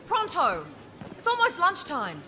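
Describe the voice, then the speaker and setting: Shrill-pitched, exasperated bossy sister. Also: female voice, wildly swooping pitch, unhurried, full character voice. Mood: angry, scolding. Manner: nagging, emphatic, scolding. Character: exasperated, bossy big sister scolding her brother. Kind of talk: video game character acting. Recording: loud outdoor ambient noise behind voice.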